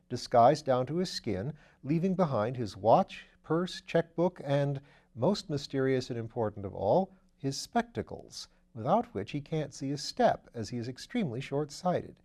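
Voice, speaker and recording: A man reading aloud, voicing a calm, methodical police detective who reports things in a measured way. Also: medium pitch. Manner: calm, matter-of-fact, measured.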